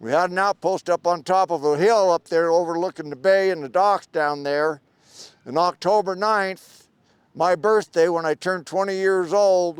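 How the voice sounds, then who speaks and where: Older man telling war stories, plain and clear. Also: high-pitched, dry recording. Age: 70s. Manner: rambling, plainspoken, with pauses and fillers. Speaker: veteran interviewee. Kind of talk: personal storytelling. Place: outdoor park event.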